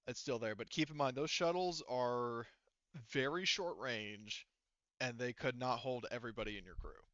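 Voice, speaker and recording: A man narrating a tabletop game as game master, casually and haltingly, with informal, sweary phrasing. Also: mid-range voice, a bit bright, dry recording, lo-fi sound.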